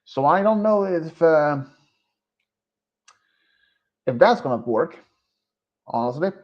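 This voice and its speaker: A Swedish-accented man chats to his stream in slow, deliberate English, his voice sitting a little high for a man. He stops often, filling the gaps with ums and uhs as he loses his thread. The lo-fi mic makes everything sound muffled and dull. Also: lively intonation. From Sweden. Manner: hesitant and rambling, with many pauses and fillers. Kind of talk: casual live-stream chat.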